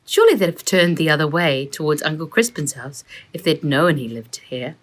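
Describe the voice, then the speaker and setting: A woman voicing a bright, inquisitive girl who eagerly works out a mystery in a children's storybook. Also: low voice, very animated, moderate pace. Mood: reasoning. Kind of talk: children's storybook read aloud.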